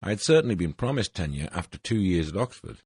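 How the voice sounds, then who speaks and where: An older man from Wales, reading his own autobiographical essay in a measured, formal way, his voice a little husky and his phrases falling at their ends. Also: deep voice, big pitch swings, a little mellow, no echo, studio quality. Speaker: essay narrator.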